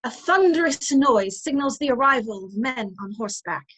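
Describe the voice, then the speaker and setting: Radio play narrator, female actor: high-pitched storyteller delivering scripted scene-setting. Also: expressive, normal speed, noisy background. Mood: narrating.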